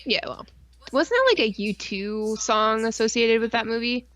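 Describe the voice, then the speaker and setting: A woman's voice: fairly high pitch, expressive, moderate pace, crowd noise. A woman co-hosting a podcast live stream in casual, informal chat with plenty of swearing.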